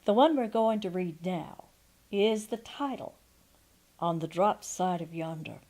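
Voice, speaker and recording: Slow-paced older woman storyteller. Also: lowish voice. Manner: deliberate, expressive storytelling with pauses. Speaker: storyteller and audiobook narrator. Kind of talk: reading a folksy memoir aloud. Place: quiet room at home, indoors. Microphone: microphone on a stand before her, seated.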